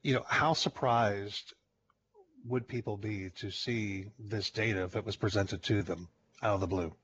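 A man hosting a podcast, posing questions in a measured, reflective way. His voice is fairly low. The recording sounds muffled, with a faint hiss behind it. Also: a bit bright. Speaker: podcast host. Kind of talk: interview questions.

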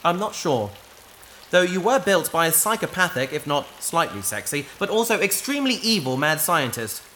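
Casting: woman as dry, patient space adventurer, bright and expressive, gently correcting, sci-fi audio drama over faint ambience. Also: fairly low pitch, normal speed, slight background noise. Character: patient, wry adventurer minding a wayward AI companion.

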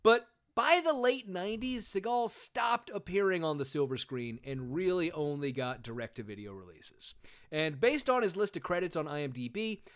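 Male podcast host, fairly high and very animated delivery, sarcastic and profane. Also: moderate pace. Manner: sarcastic, swears, many fillers. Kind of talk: casual comedic podcast chat.

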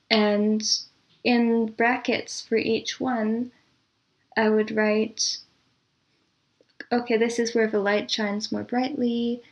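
Woman with an even, measured delivery. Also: fairly high pitch, vocal fry. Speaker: interview guest, composer and audio drama producer. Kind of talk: conversational interview answers.

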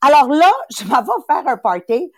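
Animated Quebec-accented woman around sixty. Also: high voice, moderate pace, no room sound, studio quality. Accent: Quebec French. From Italy. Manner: animated and emphatic, repeats phrases, acts out little voices. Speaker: podcast host and sales coach. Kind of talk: motivational talk.

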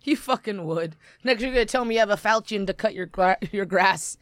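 A woman's voice: mid-range voice, fairly quick, no echo. A woman co-hosting a podcast in casual chat, teasing her friend and laughing often. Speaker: podcast co-host.

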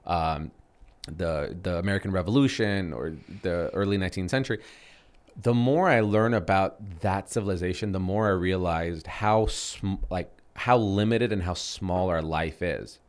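A man in his thirties talks in a relaxed, meandering way, circling back and restarting his thoughts with lots of fillers. His voice sits on the low side. He sounds like a casual podcast co-host. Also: moderate pace. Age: 30s. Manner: rambling, many restarts and fillers. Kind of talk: casual chat.

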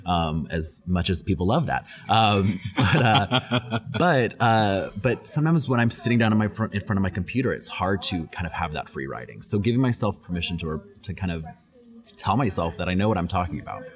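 A man's voice: sits low, expressive, a little fast. A young adult man, a guest on a podcast, answering questions thoughtfully and often repeating words as he thinks. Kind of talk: casual interview answers.

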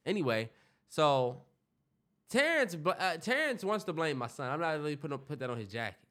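A young man co-hosting a podcast, congested, telling stories in an animated, joking way and swearing freely. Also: fairly high pitch, a little fast. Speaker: podcast co-host. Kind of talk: casual storytelling chat.

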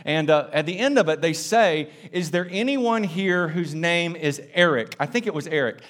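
A man from South Carolina with a Southern American accent, preaching a sermon to a church in a lively, conversational way. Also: on the high side, normal speed. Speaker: pastor.

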